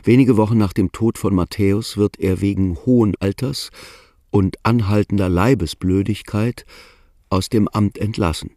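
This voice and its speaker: A man narrating a radio play in a calm, measured, reflective way. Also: on the low side, no echo, studio quality.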